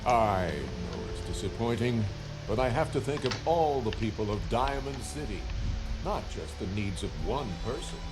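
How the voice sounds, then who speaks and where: An actor playing a pompous, self-righteous city mayor with formal speech in a video game. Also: male voice, medium pitch, lively intonation, moderate pace, over loud music, lightly acted. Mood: placating. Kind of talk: video game dialogue acting.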